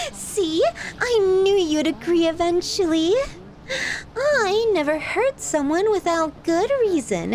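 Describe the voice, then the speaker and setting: High-pitched, sweetly menacing yandere girlfriend. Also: heavily acted. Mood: smug, possessive. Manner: cooing, drawn-out, teasing, clingy delivery. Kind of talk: ASMR roleplay acting.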